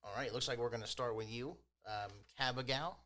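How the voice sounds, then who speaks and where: A man's voice: medium pitch, a little fast, pushed and bright, a little hiss or hum. A man narrating and hosting a tabletop fantasy game as game master. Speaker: game master and podcast host.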